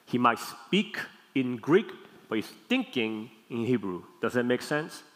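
A man with a Korean accent preaches in a medium-pitched voice that rings through a large, echoing hall. He teaches like a pastor, stressing and repeating key words and pausing to check that listeners follow. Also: big pitch swings, fairly bright tone. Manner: didactic, deliberate phrasing with emphatic repeats and check-in questions. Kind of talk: teaching sermon. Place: large hall, speaking to a congregation. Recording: long hall reverb.

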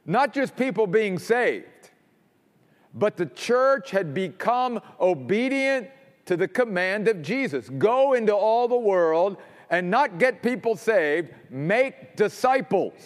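A man preaching a sermon as pastor, emphatic, dropping low and quiet to stress points. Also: very animated, slow, long reverb tail.

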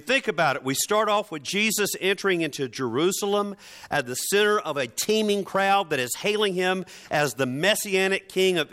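Bright, higher-pitched man preaching a sermon. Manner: conversational, wry, rhetorical questions.

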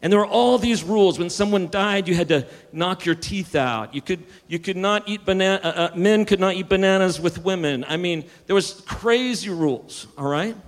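A man's voice: high voice, moderate pace, hardly any pauses, long reverb tail. A man preaching a sermon, building emphasis and sometimes restarting his words. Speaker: pastor.

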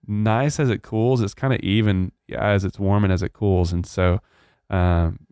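A man's voice: fairly low pitch, fairly even intonation, unhurried, dark tone, clean tone, no echo, clean studio sound. A man with a Texan drawl, hosting a church podcast in casual, earnest chat with many fillers.

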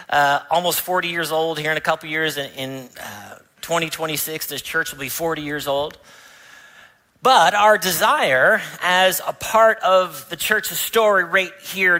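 A man's voice: sits high, sharp tone, rough recording. A man, a pastor, giving a sermon in a church. He tells a family story, then rises to emphatic preaching. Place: church sanctuary.

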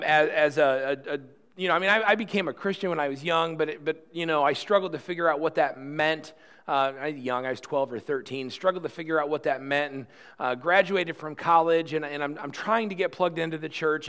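A man's voice: a little high, a little fast, a bit bright. A man in his early forties preaching a conversational, earnest sermon with rhetorical questions and self-deprecating asides, often repeating words and restarting. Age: 40s. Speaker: pastor.

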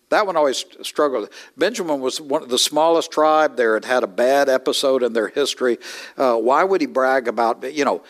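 A middle-aged man preaching a conversational sermon, with wry asides and sudden rises in pitch. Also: a bit bright. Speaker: pastor. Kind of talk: expository sermon.

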